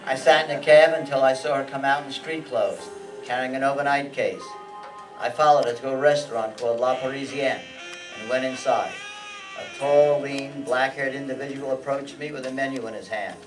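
Hard-boiled detective narrator played by an older man, matter-of-fact, medium pitch, live stage radio play heard from afar. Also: fairly even intonation, moderate pace, lightly acted. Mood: dry, probing. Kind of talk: old-time radio acting and noir narration. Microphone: stand microphone on stage, heard from audience. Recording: live stage pickup from far off, music.

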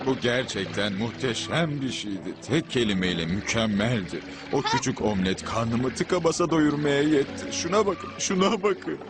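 A man's voice: mid-range voice, moderate pace, few pauses, lightly acted. A man playing a good-hearted, bumbling mechanic in a cartoon, full of exclamations and apologies. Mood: pleased. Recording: loud music behind the voice.

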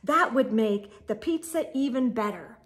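A middle-aged woman reading a children's storybook aloud with expressive, exaggerated emphasis and sing-song refrains. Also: on the high side, a little slow. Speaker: storytime read-aloud host. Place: indoor room with bookshelves.

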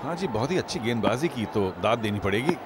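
A male cricket commentator calling a match live, chatty and fond of jokes. He sits in a commentary box, loud crowd and ground noise under his voice. His pitch is average for a man. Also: lively intonation, fast. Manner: chatty, jokey, reads sponsor lines. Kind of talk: live cricket commentary mixing Hindi and English.

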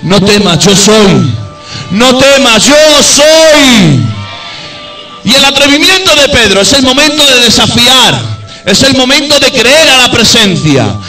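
A man delivers a fervent sermon in a noticeably high voice. He sinks into low, confiding stretches before climbing to loud, ringing peaks, with clear music playing under him throughout. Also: sing-song, normal speed, recorded from a distance. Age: adult. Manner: impassioned, swings between low intimate tones and high shouted peaks. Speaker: preacher.

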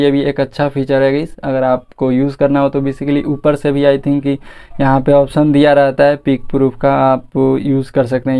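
A man's voice: a little high, dark tone, smooth. A young man with an Indian accent giving a casual phone walkthrough, talking informally and straight to viewers with English words mixed in. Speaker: tech reviewer and channel host.

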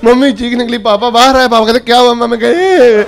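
A man in his thirties telling animated stand-up stories on a club stage, holding a handheld mic and acting out quotes in different voices. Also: very high-pitched, fairly quick, noisy background. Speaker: stand-up comedian. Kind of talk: stand-up comedy storytelling. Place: comedy club stage before a live audience. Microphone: handheld stage microphone. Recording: live club audience laughter.

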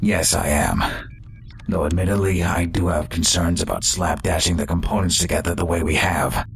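A man's voice: sits low, normal speed, gravelly, a little hiss or hum. A man voicing a polite robot scientist with formal, precise speech in a comic dub. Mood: calm, formal.

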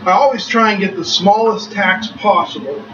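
Middle-aged male shop host, folksy how-to delivery, a bit high-pitched, dull camera-mic sound. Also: moderate pace, over music. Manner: plainspoken and folksy, jokes at his own expense. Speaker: welding instructor and host. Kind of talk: casual how-to demonstration. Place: home welding workshop, indoors.

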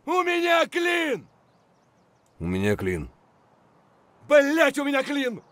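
A gruff, foul-mouthed Russian soldier. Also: male voice, very high voice, huge pitch swings, slow pace, fairly bright tone, no echo, heavily acted. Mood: urgent, frustrated. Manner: barking, cursing. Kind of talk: military shooter game voice lines.

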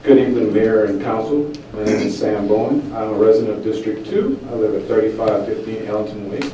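A man's voice: lowish voice, a little fast, mellow, a little hiss or hum. Earnest man urging a council, echoey hall. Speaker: code enforcement board member. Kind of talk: public comment at a council meeting. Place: large council chamber. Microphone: podium microphone heard from a distance. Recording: echoey chamber, mic at a distance.

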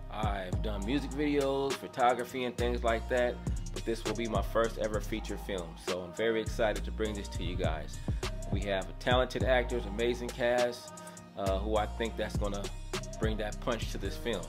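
A man in his thirties from the Bay Area speaks straight to camera, friendly and relaxed as he promotes his film. Music plays clearly under him. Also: on the low side, moderate pace. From San Francisco Bay Area. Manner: relaxed, friendly hype talk. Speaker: writer and director introducing his film. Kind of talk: casual promo address to camera. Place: indoors in front of a banner and brick wall.

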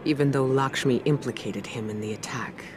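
A woman playing a composed, authoritative sci-fi leader in a video game, speaking seriously and deliberately. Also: a bass voice, background noise. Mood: somber, regretful. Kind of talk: dramatic video game dialogue. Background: music.

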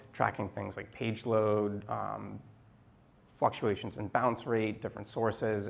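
A middle-aged man giving a technical presentation at a meetup, speaking into a handheld microphone in a measured way with frequent ums and restarts. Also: on the low side, gravelly. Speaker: conference speaker. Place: meetup room, indoors.

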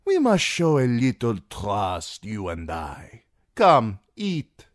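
A male narrator voicing a fat, jovial merchant magister who needles his guest in a genial, mocking, drawn-out way. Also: mid-range voice, wildly swooping pitch, dry recording, studio quality, voice pushed up. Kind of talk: audiobook character reading.

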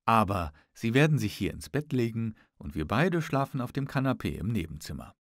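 A man's voice: mid-range voice, sing-song, fairly bright tone, dry recording, clean studio sound. A man reading the part of a witty, spirited young lady, formal and teasing, in an audiobook. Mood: teasing, sceptical. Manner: formal, teasing, quick to retort.

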